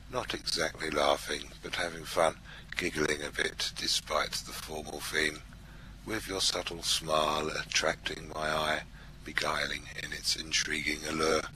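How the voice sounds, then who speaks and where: A man reads verse aloud in a strikingly deep voice. The tone is very bright and sharp-edged, and there is faint noise in the recording. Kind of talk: poetry recitation.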